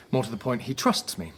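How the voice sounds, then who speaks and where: An actor playing a weary, principled young doctor in a radio drama, speaking in an earnest, wry way. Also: male voice, mid-range voice, a bit bright, a little hiss or hum.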